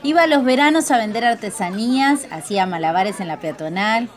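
An actress playing a bubbly, enthusiastic theatre troupe member in a radio drama, with an Argentine accent. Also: female voice, fairly high pitch, animated, fairly quick, lightly acted. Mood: excited.

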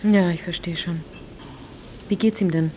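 An actress playing a wry, probing narrator and investigator in a radio drama, speaking in a dry, insistent way. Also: female voice, on the low side, steady tone, measured pace, background noise, lightly acted.

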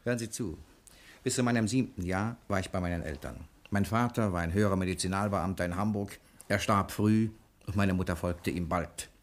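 An actor playing a cocky, quick-witted bank cashier in a 1960 radio crime drama, brisk, self-assured and commanding. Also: male voice, sits low, close to an everyday voice. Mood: confident, brisk.

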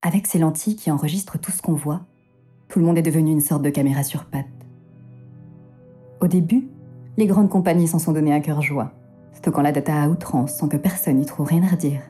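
A woman playing the wry omniscient narrator of a noir audio drama, measured and faintly ironic as she tells the story. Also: sits low, dark tone, soft background music, natural voice. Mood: wry, expository.

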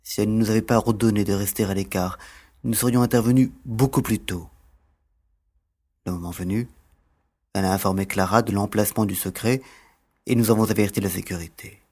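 An actor voices a grave father in a science fiction radio play. His voice is deep and rough-edged. He talks in a serious, subdued way. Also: fairly even intonation, brisk, pauses often, a little mellow. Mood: explaining. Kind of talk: audio drama dialogue.

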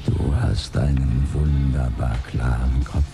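A gravelly, brooding man in his forties. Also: very deep voice, steady tone, full character voice. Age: 40s. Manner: slow, brooding. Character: middle-aged man who grew up poor, yearning. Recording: studio with music underneath.

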